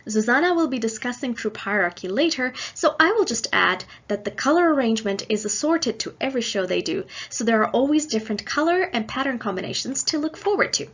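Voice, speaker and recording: Woman reading out a lecture, kinda husky, takes it step by step, dull lo-fi audio. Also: a little high, lively intonation, brisk, hardly any pauses, a bit bright. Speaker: guest lecturer. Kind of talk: scripted academic lecture.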